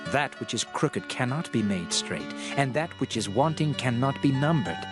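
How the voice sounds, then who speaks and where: A man's voice: mid-range voice, animated, natural voice. Man playing a solemn, world-weary king over music. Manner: solemn, declamatory, measured. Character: the Preacher, world-weary reflective old king of Jerusalem. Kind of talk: dramatized scripture reading.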